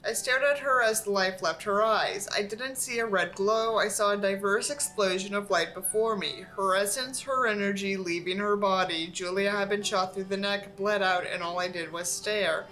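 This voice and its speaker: A woman's voice: mid-range voice, normal speed, few pauses, sharp tone, low-quality recording. A woman who sounds tired and under the weather, reading aloud and commenting in a casual, sarcastic, weary way. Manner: sardonic, weary, swearing. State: tired, feeling unwell. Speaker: host reading and mocking a book.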